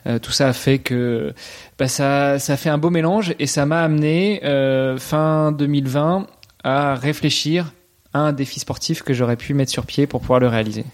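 A man in his thirties from France, talking casually in an interview with many pauses and restarts. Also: medium pitch. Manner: hesitant, many fillers and restarts. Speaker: podcast guest, athlete.

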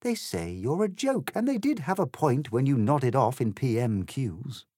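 A man's voice: mid-range voice, very animated, measured pace, a little mellow, husky, no echo, studio quality, pitched above the performer's own voice. A man voicing an anguished, exasperated male member of parliament in comic storybook verse, blunt and pleading.

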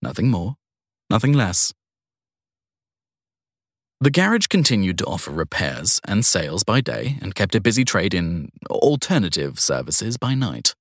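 Deep-voiced man narrating crime fiction, lively intonation. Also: a little slow, no echo, clean studio sound. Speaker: narrator of a short crime story. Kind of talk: scripted first-person audiobook narration.

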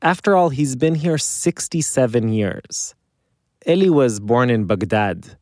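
A man hosting a podcast, narrating in a calm, measured way. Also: medium pitch, dry recording, studio quality. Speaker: podcast host. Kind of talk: scripted narration.

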